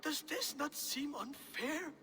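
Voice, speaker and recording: A man's voice: very high voice, slow, faint music behind. An actor playing an aggrieved but deferential Norse man pleading before his jarl in a video game. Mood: shocked, pleading. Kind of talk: dramatic video game acting.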